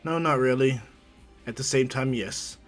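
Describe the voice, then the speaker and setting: A man's voice: mid-range voice, measured pace, faint music behind, lightly acted. A man voicing a weary, grumbling male teacher at an anime hero school, dry, drawling and resigned.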